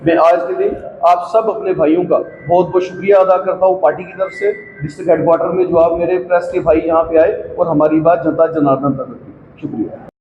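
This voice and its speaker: Man, high pitch, courteous formal vote of thanks, repetitive, long reverb. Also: fairly even intonation, brisk. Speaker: party representative closing press conference. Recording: dull sound, echoey reverberant room, faint noise.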